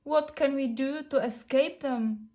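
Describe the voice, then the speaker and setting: A woman's voice: high-pitched, measured pace, dark tone, voice pushed up. A woman voicing anxious, skeptical storybook elephants in a worried, emphatic manner. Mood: worried, pleading. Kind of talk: storybook character reading.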